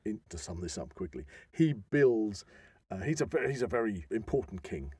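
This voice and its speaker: A man hosting an informal discussion, explaining with halting enthusiasm and emphatic stresses. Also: fairly low pitch, very animated, a little mellow, husky, no room sound. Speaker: podcast host.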